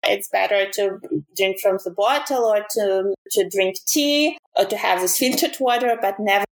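A woman's voice: mid-range voice, moderate pace, roomy sound, poor audio. A woman from Ukraine with a Ukrainian accent, chatting as an interview guest, laughing often and restarting her sentences. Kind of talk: casual conversation.

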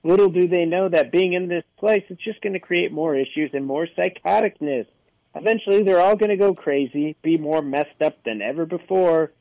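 A man's voice: fairly high pitch, moderate pace, no room sound, dull audio. A man on a podcast panel, reading trailer summaries and mocking them with jokes. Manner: sarcastic and jokey.

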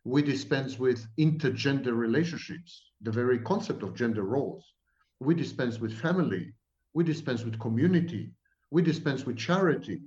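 A man's voice: medium pitch, lively intonation, normal speed, darkish tone, reverberant, muffled recording. A man from Israel, a professor answering at length in an emphatic, repetitive lecturing manner. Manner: emphatic, repetitive, sweeping declarations. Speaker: psychology professor guest.

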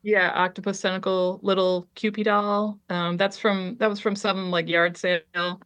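A woman's voice: mid-range voice, flat delivery, moderate pace, fairly bright tone. A woman artist chatting casually as an interview guest, hesitant and full of ums, trailing off her sentences. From Liberia.

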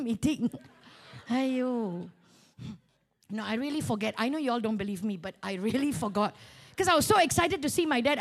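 A woman preacher with a very high voice and a Malaysian accent. She tells her sermon as a story, acting out both sides of conversations in an animated, joking way, with colloquial particles sprinkled through. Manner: animated, colloquial, humorous, with local particles like lah and ah. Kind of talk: storytelling sermon with acted-out dialogue.

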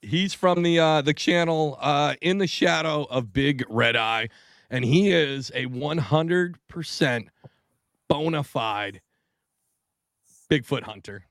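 Gravelly, profane podcast host man. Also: a little high, slow, fairly bright tone, no echo, clean studio sound. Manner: profane, laughing, loose. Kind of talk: casual chat.

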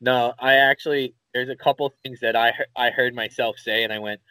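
A man's voice: mid-range voice, a little fast, smooth, dry recording, low-quality recording. Rambling Philadelphia man, dry close sound. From Philadelphia area, USA. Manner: rambling, animated storyteller, swears casually. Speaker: podcast co-host. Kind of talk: casual chat.

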